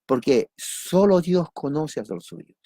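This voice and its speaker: Peruvian preacher with a dry, close sound. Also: male voice, fairly high pitch, slow pace, no room sound. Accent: Peruvian Spanish. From Peru. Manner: repeats key words, asks and answers his own questions; lively, jokes with listeners. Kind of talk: teaching sermon on doctrine.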